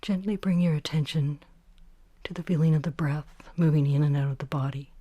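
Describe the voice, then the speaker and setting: A woman guiding a meditation, giving gentle, unhurried instructions in short phrases with pauses between them. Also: deep voice, no room sound. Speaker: meditation guide. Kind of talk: guided meditation narration.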